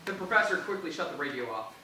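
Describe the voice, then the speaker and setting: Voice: medium pitch, sing-song, quick pace, bright, forceful tone, distant mic. An amused host introducing and narrating a comic staged reading in a casual way, prone to laughing. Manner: amused, often breaking into laughter. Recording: echoey, very noisy room, off mic.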